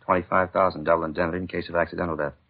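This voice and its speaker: A steady police partner in a fifties radio drama. The voice is extremely low and husky, rattling along in plain, polite speech. The recording is old and muffled. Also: male voice, racing. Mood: businesslike. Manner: plain-spoken, matter-of-fact. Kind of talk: 1950s radio acting. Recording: dull 1950s radio recording.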